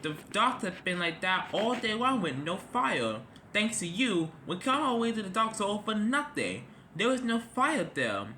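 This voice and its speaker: A man voicing an excitable male fire engine who talks high and urgently. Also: measured pace, a little hiss or hum, exaggerated voice. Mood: indignant.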